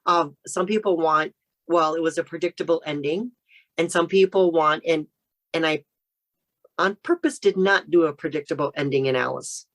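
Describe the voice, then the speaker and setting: A woman author speaks as a podcast guest, her voice sitting very low for a woman. She explains her work in a steady, orderly way. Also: normal speed. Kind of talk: casual interview chat.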